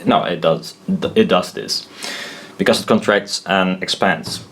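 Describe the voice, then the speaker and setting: A man giving an informal technical tutorial as he draws, halting, thinking aloud and correcting himself as he goes. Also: low-pitched, steady tone, fairly bright tone. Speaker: presenter of a hobbyist explainer video.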